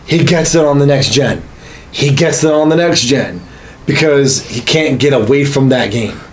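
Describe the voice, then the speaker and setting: Male podcast host, 30s, mid-pitched, casual and emphatic, profane, slightly noisy room recording. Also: normal speed. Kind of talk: casual gaming chat. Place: small home studio room, indoors.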